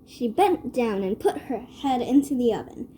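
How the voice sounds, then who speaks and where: A woman reads a children's story aloud in a measured way. Her voice sits fairly high but has a dark, mellow tone. There is a faint noise behind her. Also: expressive. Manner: measured read-aloud delivery. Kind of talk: scripted children's story narration.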